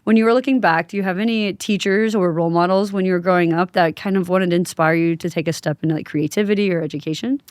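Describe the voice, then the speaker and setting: A woman's voice: on the low side, quick pace, hardly any pauses, a little mellow, no room sound. A woman hosting a podcast, chatting informally and interjecting often as she interviews. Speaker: podcast host. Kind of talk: casual conversational interviewing.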